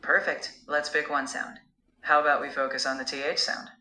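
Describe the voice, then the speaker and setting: Bright-toned AI tutor voice, male. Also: a little high, flat delivery, reverberant. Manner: warm, measured, coaching. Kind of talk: encouraging spoken feedback.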